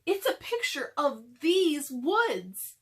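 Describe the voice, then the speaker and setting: A bookish young storybook boy, read by a woman. He sounds earnest and careful. The voice is fairly high and moves expressively in pitch. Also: big pitch swings, slow, heavily acted. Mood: curious.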